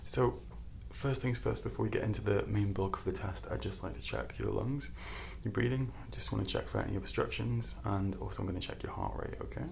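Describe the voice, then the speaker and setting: A soft-spoken man with heavy vocal fry plays a reassuring doctor for ASMR. His delivery is hushed and unhurried, sometimes close to a whisper. There is clear noise behind the close-miked voice. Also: fairly low pitch. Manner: gentle, reassuring, unhurried bedside talk. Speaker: ASMR performer playing a doctor. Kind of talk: softly spoken ASMR medical roleplay.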